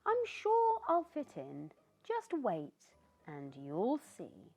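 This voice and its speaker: A woman's voice: mid-range voice, huge pitch swings, drawn-out words, warm tone, slightly rough, lo-fi sound, soft background music, voice pushed up, heavily acted. A woman voicing a cheerful, friendly young male pumpkin in a storybook, talking in an eager, bubbly way. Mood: cheery.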